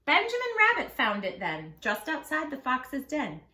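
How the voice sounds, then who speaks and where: A woman reading a children's story aloud in an expressive, affectionate way, speaking straight to young listeners. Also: high-pitched, moderate pace, roomy sound. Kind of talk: animated children's read-aloud.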